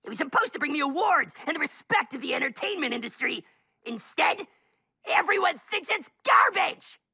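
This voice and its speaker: A man's voice: very high voice, sing-song, moderate pace, gravelly, pushed and bright, no echo, exaggerated voice. A man voicing a pompous, bitter male couch-potato villain in a cartoon, ranting theatrically and scornfully. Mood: bitter, exasperated. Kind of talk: animated cartoon acting.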